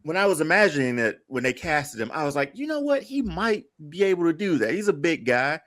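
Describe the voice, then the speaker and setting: A man's voice: fairly high pitch, very animated, fairly quick, a bit bright, dry recording. A man talking casually as a podcast panelist, thinking aloud in a conversational way. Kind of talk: casual pop-culture discussion.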